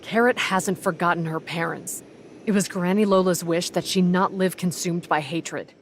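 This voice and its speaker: A woman's voice: lowish voice, fairly even intonation, normal speed, a little hiss or hum, close to an everyday voice. A woman voicing a composed, loyal, protective comrade in an anime game. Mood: firm, protective.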